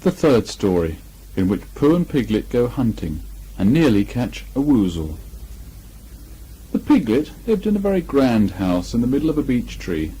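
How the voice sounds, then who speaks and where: A man with a slightly husky voice reading a children's storybook aloud with expression. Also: medium pitch, very animated, normal speed, mellow. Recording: muffled amateur 1980 home recording.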